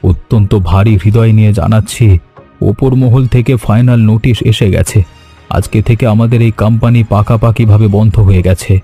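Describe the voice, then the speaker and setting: A man voicing a solemn, apologetic senior office employee, resigned and gloomy, in an animated story. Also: fairly low pitch, brisk, faint music behind, close to an everyday voice.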